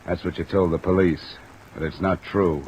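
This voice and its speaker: An actor playing a tough, cool-headed insurance investigator, clipped and matter-of-fact, in an old-time radio drama. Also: male voice, low-pitched, fairly even intonation, normal speed, a little mellow, dull audio, slight background noise, lightly acted. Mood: accusing, firm. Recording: old radio recording under light rain.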